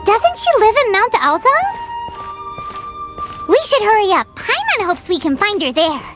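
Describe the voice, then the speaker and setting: A woman's voice: extremely high pitch, big pitch swings, measured pace, exaggerated voice. A woman voicing a tiny, chirpy female fairy companion who talks about herself in the third person. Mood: excited. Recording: game audio with loud background music.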